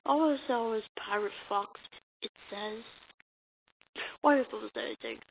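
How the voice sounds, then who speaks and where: A woman acting out comic dialogue as an amateur dub, halting and stumbling over the lines. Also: a little high, vocal fry. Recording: muffled lo-fi mic with hiss.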